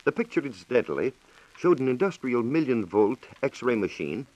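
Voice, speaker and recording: A man's voice: mid-range voice, sing-song, a little mellow. An American man explaining science formally as a reporter on a scripted 1940s radio program, in a dated lo-fi recording. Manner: formal, explanatory, measured diction.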